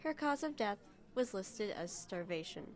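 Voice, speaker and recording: A woman's voice: medium pitch, animated, measured pace, close to an everyday voice. An actress playing a suspicious, insistent woman in a radio drama, speaking conversationally with pressing emphasis. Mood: suspicious, urgent. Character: suspicious, insistent woman digging into a murder case.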